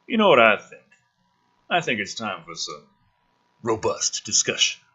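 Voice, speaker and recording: A man acting out a smug, menacing government agent from a film script in a coolly mocking, deliberate way. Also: fairly low pitch, sing-song, pushed and bright, slightly rough, lo-fi sound, exaggerated voice. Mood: cool menace. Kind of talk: acted film script reading.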